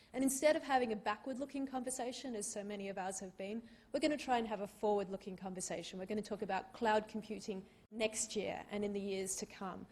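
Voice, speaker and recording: A woman moderates a tech panel in an auditorium with dry wit and articulate phrasing. Her voice sits at an ordinary middle pitch. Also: darkish tone. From Australia.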